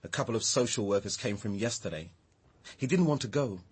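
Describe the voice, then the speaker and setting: Wounded, brooding Englishman for radio drama: husky, mid-pitched, very changeable intonation. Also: expressive, fast talker. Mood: dejected. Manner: brooding, emotional. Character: bitter, grieving man, muddled and wounded. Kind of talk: naturalistic radio drama dialogue.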